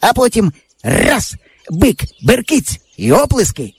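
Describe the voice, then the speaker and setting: A man's voice: sits high, slow pace, creaky, slightly rough, no echo, voice pushed up, heavily acted. A man voicing a boastful, inventive village boy of about twelve who talks eagerly and tries to win his friend over. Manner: eager, excited, persuasive. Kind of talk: audiobook character voice.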